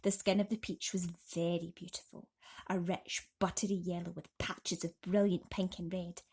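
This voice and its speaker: A woman's voice: on the low side, level tone, normal speed, a little mellow, breathy edge. A woman reading a children's bedtime story expressively, with dramatic emphasis, as a host talking to young listeners.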